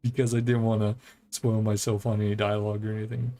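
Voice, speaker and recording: A man's voice: on the low side, level tone, quick pace, dark tone. A man streaming a video game, giving casual, rambling commentary with muttered asides.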